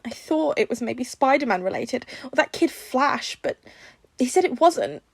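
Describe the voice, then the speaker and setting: A woman's voice: high-pitched, lively intonation, normal speed, vocal fry, no echo, pitched above the performer's own voice, full character voice. A woman voicing a guilt-ridden, emotional aunt of a teenage boy in a story reading. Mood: tearful guilt. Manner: emotional, tearful, self-blaming.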